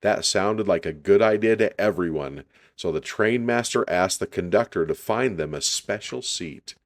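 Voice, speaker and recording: A man reading a children's story aloud in an unhurried, deliberate storytelling style. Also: low voice.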